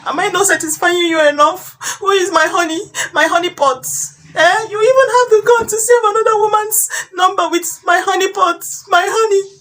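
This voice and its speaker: A woman acting out a furious, jealous wife who scolds her cheating husband in a shrill, accusing rant full of repeated questions and insults. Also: moderate pace, hardly any pauses, a bit bright. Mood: accusing, hurt.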